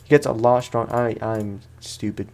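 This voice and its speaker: A man's voice: mid-range voice, normal speed, a little mellow. A man giving casual let's play commentary as a gaming host, in a rambling, slangy, self-deprecating way.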